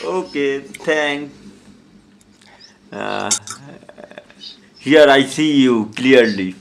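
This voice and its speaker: A man with a Bangladeshi accent chatting casually in halting, simple learner's English on an online video call. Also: medium pitch. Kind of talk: casual English practice conversation. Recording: clear background noise, online call.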